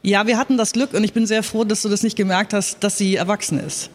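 A woman's voice: medium pitch, steady tone, quick pace. A woman speaking in a panel talk as a radio drama director, explaining her work in an earnest, emphatic way.

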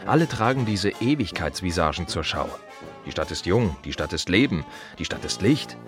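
A man's voice: low-pitched, animated, moderate pace, music in the background. A man playing a world-weary, wry gravedigger in a radio play monologue, talking conversationally in short, emphatic, rhythmic repeated phrases. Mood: agitated, scornful. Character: world-weary, wry male gravedigger musing on death and the city.

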